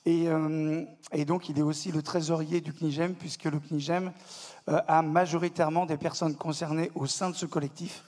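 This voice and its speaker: A man speaking on a conference panel as a network delegate, halting, with many repeated words and fillers. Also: a little high, little pitch movement, darkish tone. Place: conference hall.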